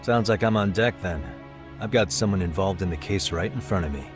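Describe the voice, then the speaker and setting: A cool, persistent private detective, played by a male actor, talks in a very low voice. He is casual and composed but keeps probing, as in a video game cutscene, with faint music behind. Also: a little fast, natural voice. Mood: wry, attentive.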